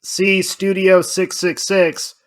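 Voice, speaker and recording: A man co-hosting a podcast, discussing films casually in an animated, emphatic way. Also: sits high, takes it slowly. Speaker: podcast co-host.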